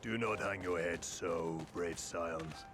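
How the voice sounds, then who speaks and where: A dignified, grave leader from a fantasy game. His voice is very low and he takes his time, with formal, consoling phrasing over clear background music. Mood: solemn, consoling. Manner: formal, measured.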